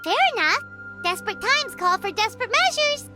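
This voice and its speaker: A woman voicing a small, chatty fairy companion girl in a video game, cutesy and childlike, with a squeaky voice. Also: animated, normal speed. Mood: thoughtful. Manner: cutesy, speaks of self in third person. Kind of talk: video game character dialogue. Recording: game music behind the voice.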